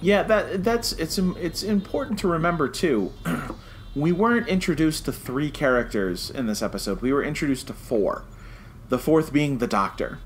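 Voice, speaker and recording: A man's voice: a little high, lively intonation, normal speed. Emphatic man co-hosting, noisy recording. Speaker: podcast co-host reviewing a TV series. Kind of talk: casual chat, review discussion.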